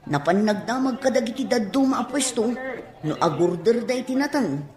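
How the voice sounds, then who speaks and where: An actress playing a cheerful young wife and daughter in a radio drama. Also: female voice, a little high, expressive, moderate pace, reverberant, a crowd in the background, close to an everyday voice. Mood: pleased, hopeful.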